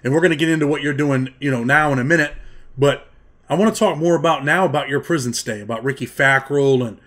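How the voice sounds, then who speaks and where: A man's voice: fairly high pitch, quick pace, a bit bright. A man in his thirties hosting an interview over a video call, earnestly pressing home lessons for young viewers. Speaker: host. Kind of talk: interview questions and commentary. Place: indoors, plain room, video call.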